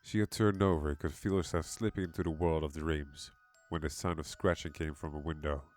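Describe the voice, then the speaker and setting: A man narrating a scripted horror story in a measured, dramatic manner. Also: a bass voice, fairly even intonation. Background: background music.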